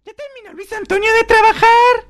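Very shrill, gossipy soap opera sister. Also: female voice, little pitch movement, moderate pace, heavy background noise. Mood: gossipy, theatrical. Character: chatty gossipy young sister in a radio soap. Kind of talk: amateur radio soap parody.

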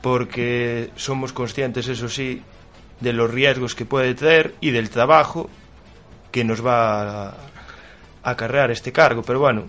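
A man's voice: mid-range voice, steady tone, slow, fairly bright tone. A man from Galicia, a supporters' club officer explaining earnestly as a radio interview guest. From Galicia, Spain.

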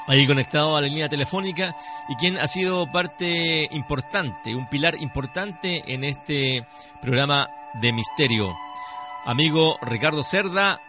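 A man with a Chilean accent hosting a live radio interview, addressing his guest in a courteous, formal way. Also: on the high side. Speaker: radio host.

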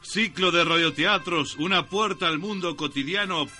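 Radio drama announcer, grandiose, mock-dramatic, higher-pitched, over loud music. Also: male voice, moderate pace. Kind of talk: old-time radio serial introduction.

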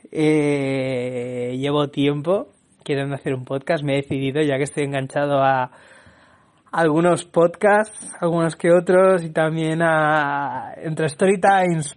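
A young man from Barcelona with a Barcelona Spanish accent, hosting a casual solo podcast, chatty and laughing often as he restarts his words. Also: fairly high pitch. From Spain. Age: young adult. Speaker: podcast host.

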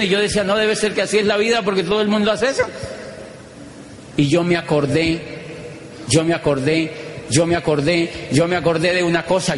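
A man's voice: sits high, fairly bright tone. A middle-aged Colombian man giving a motivational talk to a live audience, telling a story and acting out the dialogue, often repeating phrases for effect. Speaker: motivational speaker. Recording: echoey hall with faint noise.

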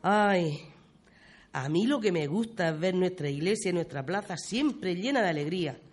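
A performer playing a talkative, fond old grandmother, reminiscing in folksy, expressive style in a community radio play. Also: mid-range voice, moderate pace, close to an everyday voice. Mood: nostalgic, fond. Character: talkative, fond old village grandmother telling local history.

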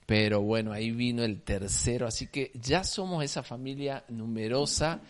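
A man in his thirties from Salta, Argentina, with a Salta accent, chatting as an interview guest in a slow, drawn-out tonada with many repetitions. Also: medium pitch. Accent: Salta Argentine Spanish. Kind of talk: casual interview chat.

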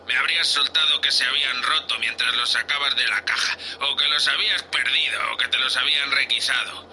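A man's voice: mid-range voice, steady tone, brisk, sharp tone, slight background noise, poor audio. A man with a Spain Spanish accent playing a drunk, sulky, insolent spaceship crewman in a sci-fi audio drama, complaining petulantly and sarcastically. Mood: sulky, resentful.

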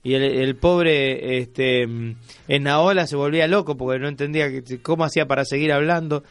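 A man's voice: mid-range voice, normal speed, dry recording. A man with an Argentine accent hosting a casual radio magazine show, chatting and teasing his co-hosts. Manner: joking, teasing. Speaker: radio host. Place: radio studio.

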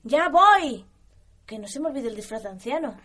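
A woman's voice: high voice, lively intonation, moderate pace, fairly bright tone, faint music behind. A woman playing a fussing young wizard's apprentice in a radio play. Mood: flustered. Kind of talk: amateur radio play acting.

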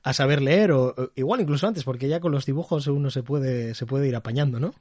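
A man's voice: medium pitch, brisk, darkish tone, dry recording, studio quality. A man with an accent from Spain, hosting a podcast in a chatty, joking way. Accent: Spanish from Spain. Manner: jokey, chatty, laughs often. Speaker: podcast host. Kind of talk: casual podcast chat.

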